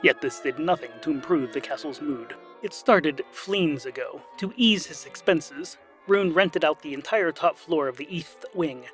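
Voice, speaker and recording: Theatrical, higher-pitched male storyteller. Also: measured pace, hardly any pauses, soft background music. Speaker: narrator. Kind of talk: scripted comic fantasy narration.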